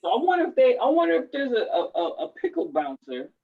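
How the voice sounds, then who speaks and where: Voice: high voice, wildly swooping pitch, quick pace, vocal fry, recorded from a distance, narrow, tinny audio. A co-host in casual chat who talks animatedly and repeats words when starting sentences. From United States.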